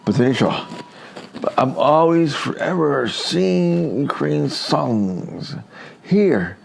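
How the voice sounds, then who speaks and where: Effusive man with a gravelly voice. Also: on the high side, animated, slow pace, slight background noise. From Canada. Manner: effusive, keeps addressing "my friends". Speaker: listener sending a message. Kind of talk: heartfelt spoken tribute. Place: Hamilton, Canada.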